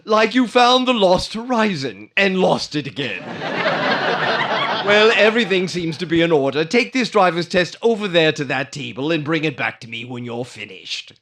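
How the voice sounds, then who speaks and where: A man playing a dry, wisecracking license examiner in old-time radio comedy style, with drawn-out hems. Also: on the high side, lively intonation, fairly bright tone. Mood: wry.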